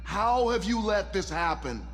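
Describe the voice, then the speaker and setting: An actor playing an arrogant, irritable billionaire mission backer in a video game, sarcastic, profane and demanding. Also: male voice, sits high, very animated, a little slow, a bit bright, full character voice. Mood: outraged. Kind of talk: cinematic video game acting. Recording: reverberant hall, music underneath.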